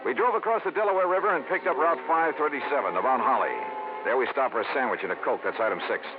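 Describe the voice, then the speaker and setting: An actor playing a tough, decisive American investigator in an old-time radio drama, with clipped, emphatic delivery. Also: male voice, medium pitch, fast talker, close to an everyday voice. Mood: wry, dramatic. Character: tough, decisive hardboiled male insurance investigator, narrating. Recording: tinny vintage radio recording, music.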